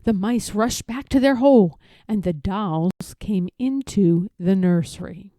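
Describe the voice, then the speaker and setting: A woman reading a children's story aloud in an expressive, measured, emphatic storytelling manner. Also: mid-range voice, dry recording, clean studio sound. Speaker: storybook reader.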